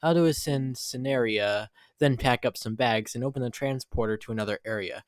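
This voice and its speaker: A man's voice: medium pitch, moderate pace, no echo, clean studio sound. A man narrating an amateur dramatic reading from a script, in the role of storyteller. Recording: music behind voice. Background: music.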